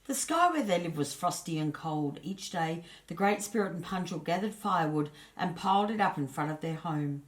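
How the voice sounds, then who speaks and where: Low-voiced woman reading a children's story. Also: expressive, moderate pace, hardly any pauses. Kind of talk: scripted children's story narration.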